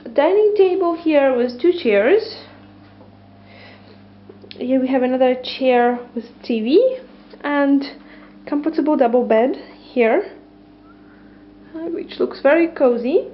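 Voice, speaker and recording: A woman in her thirties, walking a camera through a small loft flat and describing it with frequent ums and hesitations. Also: high-pitched, takes it slowly, pauses often, muffled recording, slight background noise. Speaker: property tour presenter. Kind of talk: walkthrough tour narration. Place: small loft studio apartment, indoors. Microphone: camera mic, handheld camera.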